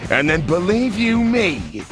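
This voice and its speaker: An actor playing a big brutish male bulldog gangster boss in a video game, with a gravelly, blustering tough-guy delivery. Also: high voice, big pitch swings, over music, full character voice. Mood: sore. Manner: swaggering, blustering, slow. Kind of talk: video game cutscene acting.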